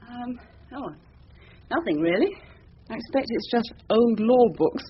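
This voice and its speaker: High, lively English lady barrister. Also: measured pace. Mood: guarded, flustered. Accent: educated English. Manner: crisp, dry, hesitant when flattered. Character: brisk, guarded young female barrister, politely unimpressed. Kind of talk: British radio drama acting. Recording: dull lo-fi radio recording, background noise.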